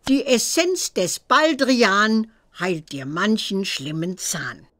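A woman voicing a stern old witch examiner who teaches herbalism in a children's computer game, theatrical and deliberate. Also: medium pitch, sing-song, fairly bright tone, no room sound. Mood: theatrical, teasing.

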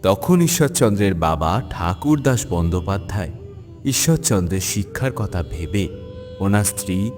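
A man's voice: deep voice, lively intonation, normal speed. A man giving scripted storybook narration for a cartoon biography. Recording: long hall reverb, music underneath.

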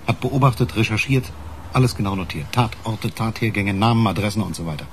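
An actor playing a gruff, sceptical homicide detective with a brisk, grumbling manner in a German radio crime play. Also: male voice, lowish voice, a little hiss or hum, lightly acted. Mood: businesslike.

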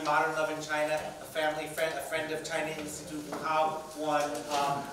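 Loud flat-toned man making announcements. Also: fairly high pitch. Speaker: host from the institute. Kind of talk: closing announcements. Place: lecture room with an audience. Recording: echoey room, distant, noisy.

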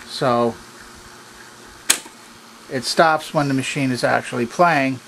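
A man's voice: mid-range voice, takes it slowly, gravelly. Man explaining technical details, noisy recording. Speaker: presenter of a repair demonstration. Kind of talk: unscripted hands-on technical explanation. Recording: noticeable background noise.

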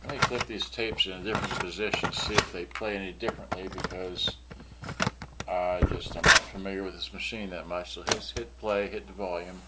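A man with a fairly low voice talks through an unscripted product demonstration. He rambles and mutters to himself as he works. The recording is very noisy, made indoors at a workbench. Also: steady tone, brisk. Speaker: product reviewer and seller demonstrating vintage electronics. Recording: noisy room recording with clicking sounds.

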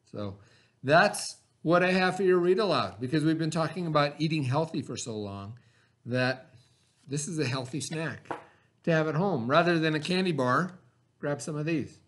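A man in his forties, a teacher, giving slow step-by-step craft instructions to children from a classroom webcam. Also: on the high side, lively intonation, fairly bright tone. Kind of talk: remote classroom craft lesson. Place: classroom, indoors. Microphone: webcam at desk.

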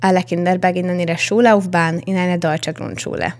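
A young woman reporter reading a scripted television news voice-over in an even manner. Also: lowish voice, fairly quick. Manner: even, read-out delivery.

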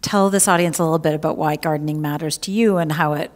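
A middle-aged woman journalist interviewing an author on stage, asking measured, attentive questions. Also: deep voice, fairly even intonation. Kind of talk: onstage interview. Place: library event stage, large hall. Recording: hall reverb from a large room.

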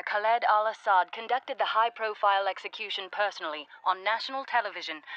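Bright-voiced British female news anchor played by a woman. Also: mid-range voice, natural voice, rough recording. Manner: formal, measured, clearly articulated delivery. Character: formal, composed female BBC-style news anchor. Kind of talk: scripted television news bulletin.

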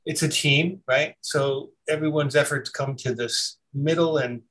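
A man's voice: a little high, steady tone, fairly bright tone. A man, a band musician, talking casually in an interview, often restarting his sentences. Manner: halting, self-correcting storyteller. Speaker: musician guest. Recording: distant from the mic.

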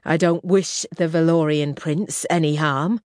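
A woman voicing a reserved young male ruler in an audiobook, with a restrained, measured delivery. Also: sits low, fairly even intonation, a little mellow, no echo, clean studio sound. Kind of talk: audiobook character reading.

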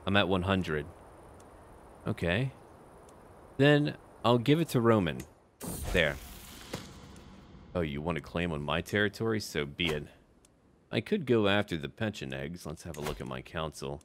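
Low-voiced man with lively game commentary. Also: a little slow, long pauses, a little hiss or hum. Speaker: gaming video creator playing a strategy game. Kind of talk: roleplay gameplay commentary, speaking to game characters.

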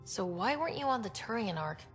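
An actress playing an earnest, determined young expedition leader in a video game, supportive and decisive. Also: female voice, mid-range voice, sing-song, brisk, vocal fry. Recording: long reverb, faint music behind.

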